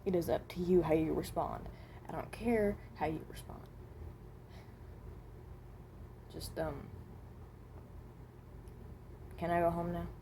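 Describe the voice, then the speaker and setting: A woman voicing a weary, self-loathing animatronic rabbit, halting and often trailing off, in an amateur improvised monologue. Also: lowish voice, mellow, a little hiss or hum, natural voice. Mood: resigned, apologetic.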